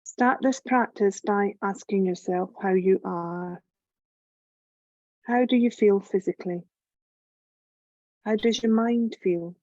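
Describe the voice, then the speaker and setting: A woman leading a guided yoga nidra meditation in a gentle, calm, measured voice with long pauses. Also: medium pitch, no echo, dull audio. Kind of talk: slow guided relaxation meditation narration.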